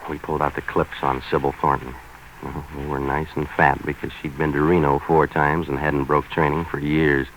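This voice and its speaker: An actor playing a cynical, impatient American private detective in 1940s radio noir, clipped and deadpan, on a vintage recording. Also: male voice, rumbling low, normal speed, a little hiss or hum, poor audio. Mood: deadpan, sardonic.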